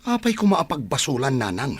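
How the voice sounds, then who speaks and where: A man's voice: mid-range voice, wildly swooping pitch, fairly quick, breathy edge, no echo. A Filipino man playing a grown son in a radio drama, evasive and defensive as he questions his mother.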